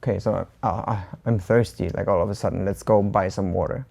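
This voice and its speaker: Woman w slight german accent, warm mellow voice, laid-back, translating examples w lots of um and like. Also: very deep voice, fairly quick, creaky. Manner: relaxed, translates examples into English with hedging fillers. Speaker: co-host learner. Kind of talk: language lesson chat.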